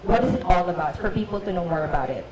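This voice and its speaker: A woman's voice: on the low side, expressive, fast. Echoey woman moderating a busy hall. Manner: upbeat, chatty, laughs often. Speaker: panel moderator. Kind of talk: moderating a panel discussion. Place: large events hall. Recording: echoey hall from far off, loud crowd.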